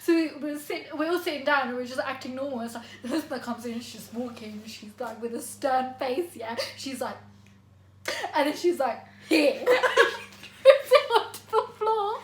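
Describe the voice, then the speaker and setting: A high, bright-voiced young woman telling a story to her siblings, animated and laughing often. Her voice rings in an echoey room. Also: normal speed. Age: 20s. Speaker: vlogger. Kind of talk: casual sibling chat.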